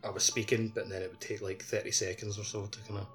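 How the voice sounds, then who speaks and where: A man's voice: lowish voice, little pitch movement, fast, faint music behind. A man chatting casually as a podcast co-host.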